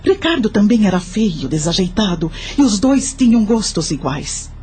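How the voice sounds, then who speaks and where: A woman's voice: mid-range voice, sing-song, moderate pace, mellow, lightly acted. A Brazilian woman playing an older, sorrowful widowed mother who tells her life story in an audio drama. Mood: reflective. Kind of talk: audio drama first-person narration. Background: music.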